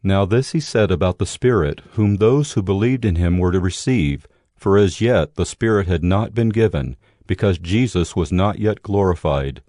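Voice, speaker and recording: A man reading scripted narration for a dramatized audio Bible in a measured, solemn way. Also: low voice, dark tone, no room sound, studio quality. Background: music.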